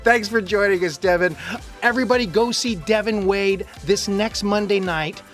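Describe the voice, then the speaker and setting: Higher-voiced playful male interviewer. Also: moderate pace, fairly bright tone, soft background music. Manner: playful, bantering. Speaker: interviewer and fellow actor. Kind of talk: casual promotional interview hosting.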